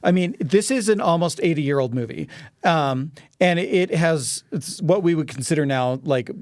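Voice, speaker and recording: A man with a rather high voice discusses film as a podcast guest. He talks like a storyteller, voicing quotes as he goes. The sound is dry. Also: normal speed, a little mellow, dry recording. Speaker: podcast guest, audio drama producer.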